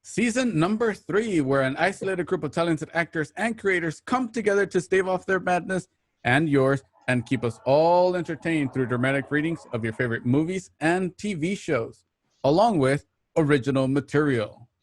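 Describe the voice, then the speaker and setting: A man's voice: on the high side, expressive, normal speed, slight background noise. A man hosting a show, delivering a scripted introduction in a presenterly, announcing manner. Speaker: show host. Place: at home.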